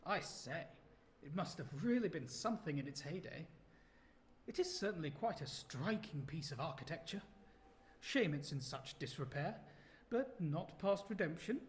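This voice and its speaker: Actor as posh, animated young English gentleman soldier. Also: on the high side, normal speed, a little hiss or hum, lightly acted. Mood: admiring. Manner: posh, jovial, old-fashioned exclamations. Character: young well-spoken English ex-soldier on a 1940s courting stroll. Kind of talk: period radio drama acting.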